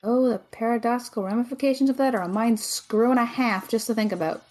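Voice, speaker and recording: A woman's voice: fairly high pitch, fast, darkish tone, faint music behind, close to an everyday voice. A woman playing a practical, exasperated young female student with a skeptical, dry manner in an audio drama. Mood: worried, exasperated.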